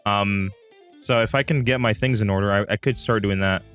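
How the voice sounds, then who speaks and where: A man's voice: on the low side, fairly even intonation, a little fast, fairly bright tone, smooth, soft background music. A man hosting a live stream with casual chat, rambling and repeating himself as he talks straight to his viewers. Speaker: livestream host.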